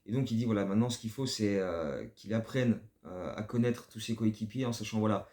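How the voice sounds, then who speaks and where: A man's voice: on the low side, brisk, a little mellow, reverberant. A man hosting a basketball fan channel, giving casual spoken commentary that rambles and often stumbles over repeated words.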